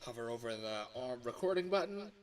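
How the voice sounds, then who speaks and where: A man's voice: medium pitch, lively intonation, a little fast. A man chatting casually on a livestream, thinking aloud in a muttering way, as an amateur voice actor. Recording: hissy home recording, computer fan noise. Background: computer fan hum.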